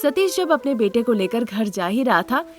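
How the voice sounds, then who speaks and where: A woman's voice: on the high side, expressive, moderate pace, darkish tone, faint music behind. A woman reading scripted narration of a moral story as the narrator.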